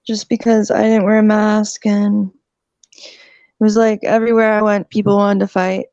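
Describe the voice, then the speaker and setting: A woman's voice: medium pitch, flat delivery, moderate pace, darkish tone, clean tone, no room sound. A woman in her thirties chatting casually and laughing often on a group call, through a slightly dull lo-fi mic. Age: 30s. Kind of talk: casual group video chat.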